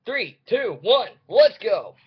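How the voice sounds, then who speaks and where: A young man with a fairly high voice hosts a casual reaction video. He rambles hesitantly, cutting himself off and putting himself down. The webcam sound is dull and lo-fi. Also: big pitch swings, slow, noisy background. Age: young adult. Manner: hesitant, self-deprecating, breaking off mid-sentence. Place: indoors in a room at home. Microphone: webcam or computer mic.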